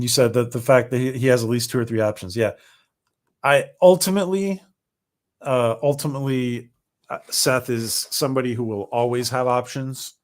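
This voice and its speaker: A man hosting a casual livestream, rambling with many ums and restarts as his voice starts to go hoarse. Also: medium pitch. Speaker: livestream host. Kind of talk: casual livestream chat reading viewer comments.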